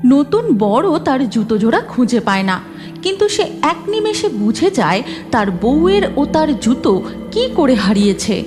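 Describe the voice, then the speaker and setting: A woman reading scripted cartoon story narration in an expressive, dramatic way. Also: sits high, normal speed, over music.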